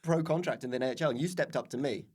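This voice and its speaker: Man, medium pitch, casual podcast chat, rambling, playful, comedic. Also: rapid-fire, a little mellow. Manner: rambling, playful, jumps into mock voices.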